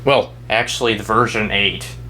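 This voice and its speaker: A man hosting a casual show-and-tell, talking in a rambling, halting way and often trailing off mid-thought. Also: fairly low pitch, steady tone, bright, forceful tone, slight background noise. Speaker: video host and weather radio collector.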